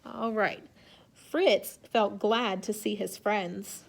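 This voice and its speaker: A woman's voice: medium pitch, fairly even intonation, takes it slowly, vocal fry, breathy edge. A woman speaking as an elementary teacher to young children in a read-aloud lesson, instructing in simple phrases and asking questions.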